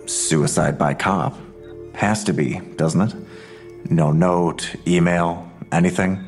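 Male investigator, crime audio drama: deep, clipped, inquisitive and sober, music bed. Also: fairly even intonation, slow pace. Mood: sober, probing. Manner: terse, questioning.

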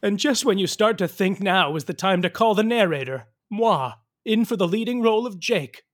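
An actor playing a vain, fretful narrator who delivers melodramatic, campy asides in a comedic audio drama. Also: male voice, high-pitched, expressive, normal speed. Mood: mock-anguished. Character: theatrical, vain, fretful male storyteller narrator.

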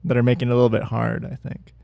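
A man's voice: medium pitch, completely flat delivery, very fast, creaky, mellow, smooth, no room sound. A man co-hosting a casual chat, rambling with many fillers and restarts. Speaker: podcast co-host.

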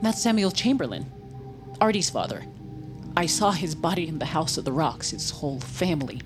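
A woman's voice: sits low, very animated, moderate pace, music in the background. An actress playing an earnest, troubled woman investigating an occult mystery in an audio drama. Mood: grave.

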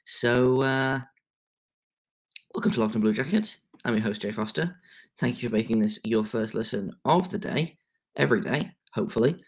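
Gravelly English man hosting a podcast. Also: mid-range voice, animated. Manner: halting, many fillers and restarts. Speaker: podcast host. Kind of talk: casual sports podcast talk.

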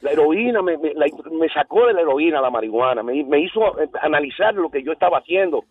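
A man's voice: a little high, animated, quick pace, slightly rough, no room sound. A man in his sixties from the Dominican Republic, speaking with a Puerto Rican Spanish accent, calling in to chat in a rambling way with English phrases mixed in. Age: 60s. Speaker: caller. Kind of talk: casual call-in chat. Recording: tinny phone line, distant.

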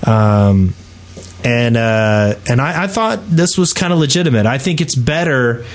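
A man co-hosting a casual gaming podcast, explaining things in a halting, conversational way. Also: mid-range voice, lively intonation. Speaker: podcast co-host.

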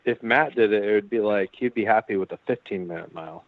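A man's voice: on the low side, fast, no room sound. A man co-hosting a podcast, ribbing the others with brash, profane remarks. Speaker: co-host. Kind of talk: casual podcast chat. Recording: tinny phone-like line.

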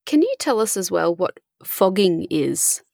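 A woman's voice: lowish voice, very animated, measured pace, creaky, a little mellow, no room sound, studio quality. A woman interviewer asking brief, direct questions.

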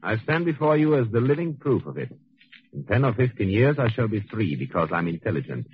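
An actor playing a cold, arrogant intellectual murderer in a 1940s radio thriller, speaking in a pompous, measured, condescending way. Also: male voice, on the low side, big pitch swings. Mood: contemptuous. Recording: dull 1940s radio recording.

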